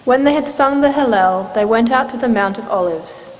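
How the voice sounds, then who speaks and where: Measured woman narrator in a reverberant church. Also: a little high, a little mellow. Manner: measured, formal storytelling. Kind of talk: scripted scripture narration. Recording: reverberant church hall, background noise.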